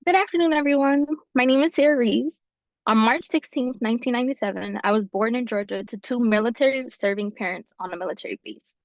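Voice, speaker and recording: A woman in her twenties from New York, reading a prepared personal story over a video call with steady, earnest delivery. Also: a little high, quick pace, dry recording, dull audio. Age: 20s. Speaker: fellow presenting her story.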